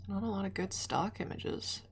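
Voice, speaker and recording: A woman's voice: medium pitch, expressive, moderate pace, vocal fry, slight background noise. A young adult woman, a little snuffly, chatting casually on a stream, rambling with frequent restarts.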